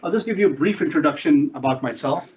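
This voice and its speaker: A middle-aged male lecturer with a Singaporean English accent and a fairly high voice speaks to a classroom. He talks in a chatty way, with joking asides, and keeps checking in with his students. A faint hum of room noise sits under his voice. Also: lively intonation, fast, darkish tone, low-quality recording. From Pakistan, grew up in Singapore. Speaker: business lecturer. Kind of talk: classroom lecture, self-introduction.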